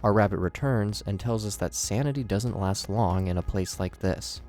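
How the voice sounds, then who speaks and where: A man's voice: lowish voice, normal speed, a little mellow. A man hosting and narrating scripted horror commentary in a theatrical presenter style with wry asides. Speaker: video host and narrator. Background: background music.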